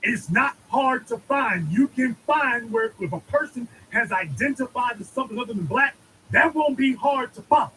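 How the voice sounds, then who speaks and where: A man's voice: extremely high pitch, animated, moderate pace, bright, forceful tone, a little hiss or hum, low-quality recording. A man giving an emphatic political monologue, preaching and repeating lines for effect. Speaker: commentator.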